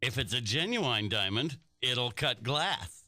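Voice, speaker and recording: A man's voice: medium pitch, animated, slow pace, over music. A man playing blustering joker Fibber McGee. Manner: blustery, joking. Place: radio studio.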